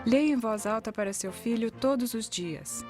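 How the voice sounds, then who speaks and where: A woman's voice: a little high, moderate pace, over music. A woman with a Brazilian accent reading a scripted narration for an educational video.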